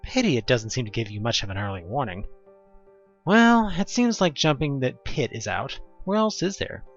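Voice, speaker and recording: A man's voice: medium pitch, very animated, normal speed, dull audio, faint music behind. A man narrating an interactive horror story in the second person, with a theatrical, wry delivery. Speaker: narrator of a fan audio drama.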